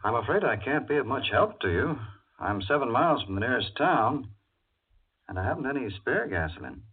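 An actor playing a polite, hospitable country doctor in a 1940s radio drama. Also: male voice, mid-range voice, very animated, fairly quick, breathy edge, faint music behind. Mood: courteous, insistent. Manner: polite, genteel. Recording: distant, muffled old radio recording.

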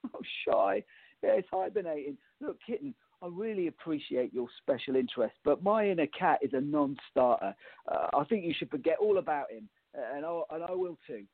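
A raspy, creaky actor as a young bachelor, nervous and exasperated in a farce radio play. His fairly high voice is flustered and sarcastic. The audio is narrow, like a telephone. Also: lively intonation, fairly quick, dry recording. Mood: nervous, placating. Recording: muffled, phone-like narrow-band audio.

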